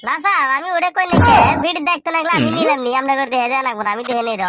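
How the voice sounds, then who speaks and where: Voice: high voice, expressive, racing, over loud music. A performer voicing a cheeky, dim-witted village schoolboy in a comedy cartoon, whining and arguing back in a rural Bangladeshi accent with mangled English. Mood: whiny, cheeky. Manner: whiny back-talk, mangled English mixed into dialect.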